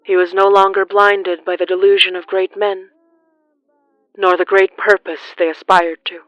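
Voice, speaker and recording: A narrator reading a scripted horror story in a solemn, deliberate way with dramatic pauses. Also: mid-range voice, little pitch movement, fairly bright tone, dry recording, soft background music, rough recording. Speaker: narrator of a horror fiction podcast.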